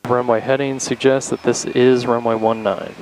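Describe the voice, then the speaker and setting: A man with a mid-pitched, creaky voice coaches a student pilot in a reassuring, instructive way. He gives calm, practical directions inside a small airplane cockpit, with faint engine noise around him. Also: moderate pace, poor audio. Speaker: flight instructor.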